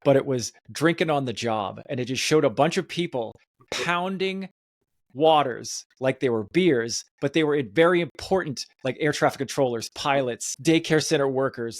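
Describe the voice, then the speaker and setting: Higher-pitched man hosting a podcast. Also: animated, normal speed, a bit bright, dry recording, studio quality. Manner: emphatic, enthusiastic. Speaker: podcast host. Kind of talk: casual podcast chat.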